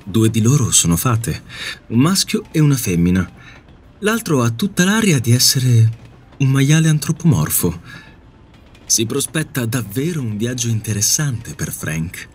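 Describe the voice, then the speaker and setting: A man's voice: mid-range voice, very animated, measured pace, a little hiss or hum. A man giving scripted narration for an audio drama.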